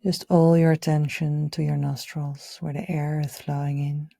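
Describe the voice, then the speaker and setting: Woman doing guided meditation, low mellow voice, soft and gentle, really close dry sound. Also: little pitch movement, dry recording. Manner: soothing, gentle, unhurried, with audible sighs and breathy pauses. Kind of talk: guided meditation, live session.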